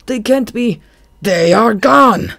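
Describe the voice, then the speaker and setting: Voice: mid-range voice, moderate pace, no echo, clean studio sound, close to an everyday voice. A narrator voicing a menacing male bat who barks and hisses orders. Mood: furious. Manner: growling, roaring orders. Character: menacing adult male bat, harsh and bullying. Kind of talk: audiobook character reading.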